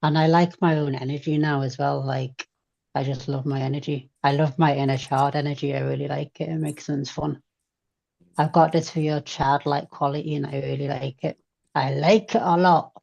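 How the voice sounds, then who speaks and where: Gravelly voice in candid, casual chat. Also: mid-range voice, little pitch movement, normal speed, darkish tone. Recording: dull, lo-fi sound.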